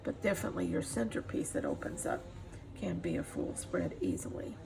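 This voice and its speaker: A middle-aged woman giving a casual craft demonstration as she works, pausing often to think. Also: fairly low pitch, wildly swooping pitch, music in the background, rough recording. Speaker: craft tutorial host. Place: indoors at a craft table. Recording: clear background noise, room ambience.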